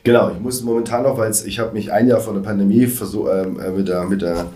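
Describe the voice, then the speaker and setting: Deep-voiced fast-talking comedian, echoey room. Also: male voice, steady tone, warm tone. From Reutlingen, southwest Germany. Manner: rambling storyteller with quick self-corrections and playful asides. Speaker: stand-up comedian and podcast guest. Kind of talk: casual conversational interview. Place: studio in Hamburg.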